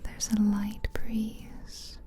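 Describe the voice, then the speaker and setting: A woman whispering a slow, soothing guided visualization as an ASMR host, close to the mic. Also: medium pitch, monotone, mellow. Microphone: close mic, ear to ear.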